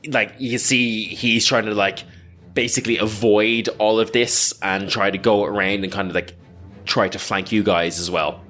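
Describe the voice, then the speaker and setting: A man's voice: lowish voice, steady tone, sharp tone. Man improvising as a tabletop game master. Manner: halting, filler-heavy descriptive improvising. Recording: background music under the voice.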